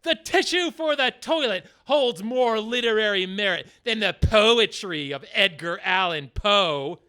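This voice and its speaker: Exasperated melodramatic thespian male actor, audio comedy, unhurried declamation, very animated. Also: high-pitched, smooth, full character voice. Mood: outraged. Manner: declamatory, indignant. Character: exasperated, indignant actor who recites poems, grandly melodramatic. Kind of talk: comedic radio play acting.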